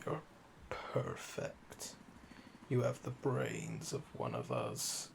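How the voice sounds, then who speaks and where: Man playing a slow, gravelly, seductive assassin. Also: a bass voice, wildly swooping pitch, noisy background, exaggerated voice. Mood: admiring, seductive. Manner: slow, deliberate, teasing, smug. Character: calm, flirtatious, obsessive male assassin under interrogation. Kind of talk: intimate ASMR audio roleplay.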